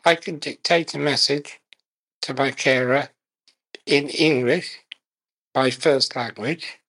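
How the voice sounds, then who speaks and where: Man, podcast guest, slow, gravelly, measured, short phrases. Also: medium pitch, steady tone, bright, forceful tone, poor audio. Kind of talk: conversational interview.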